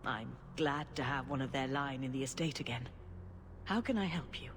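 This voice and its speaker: An actress playing a dignified elderly female cleric in a video game, speaking in a stately, measured, diplomatic way. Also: extremely low pitch, a little hiss or hum. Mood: stately, gracious.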